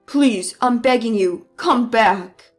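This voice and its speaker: A woman voicing a bullying, entitled husband who shouts and belittles his wife, with a lowered voice. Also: slow pace, faint music behind. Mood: pleading. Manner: yelling, belittling, then pleading. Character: bullying entitled husband, loud mama's boy.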